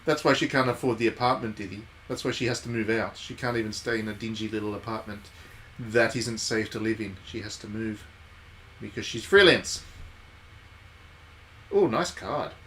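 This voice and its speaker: A man's voice: lowish voice, moderate pace, a bit bright, a little hiss or hum, rough recording. A man giving casual, wry live commentary as he streams a visual novel. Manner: wry, jokey asides. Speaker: game streamer.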